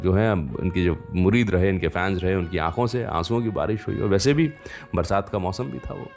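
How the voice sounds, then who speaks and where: Male radio host, lower register, conversational storyteller with unhurried pauses, faint music underneath. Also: vocal fry, a little mellow.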